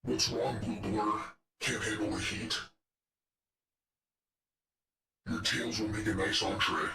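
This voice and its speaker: A man's voice: fairly low pitch, fairly even intonation, moderate pace, pushed and bright, recorded from a distance. A man voicing a menacing, arrogant robot henchman in a video game, fiery and sadistic, with taunting, condescending lines. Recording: echoey room, off the microphone.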